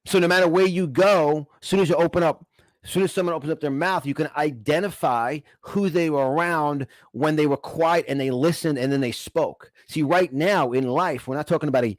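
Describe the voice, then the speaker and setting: A man's voice: on the high side, brisk, dry recording. A man with a New York accent giving an emphatic, exhortative motivational talk, repeating phrases to drive them home. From New York, United States. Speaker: motivational speaker and coach.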